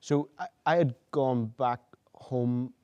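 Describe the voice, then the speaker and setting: A man's voice: mid-range voice, slow, a little mellow, smooth, lo-fi sound. Male filmmaker, panel guest, loose and rambling delivery. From Northern Ireland. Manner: rambling, lots of restarts and "you know". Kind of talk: panel discussion.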